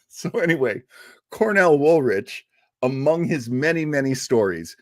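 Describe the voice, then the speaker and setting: A man in the host's chair, talking casually and with plenty of enthusiasm, full of little asides and fillers. His pitch moves up and down a lot, and his voice sits in an ordinary middle range. The sound is dry and close, with no room echo. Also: big pitch swings, measured pace. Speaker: host introducing a radio drama. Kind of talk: casual spoken introduction.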